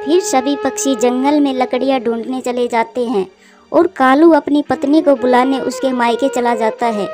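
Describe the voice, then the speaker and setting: A woman narrates a scripted children's story in a high voice. Music plays clearly behind her narration. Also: fairly even intonation, moderate pace.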